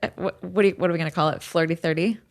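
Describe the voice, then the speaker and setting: A woman in her mid-thirties talks on a podcast with a somewhat low voice. She rambles a bit and restarts her sentences as she tells a story. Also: steady tone, racing, no echo, clean studio sound. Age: 30s. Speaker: podcast co-host. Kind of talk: casual chat.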